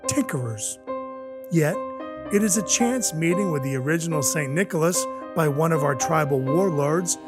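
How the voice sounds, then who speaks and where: A man playing a sarcastic, deadpan dwarf who enforces Santa's naughty list in an audio play. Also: a little high. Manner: deadpan, sardonic, officious. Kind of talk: audio play narration and dialogue.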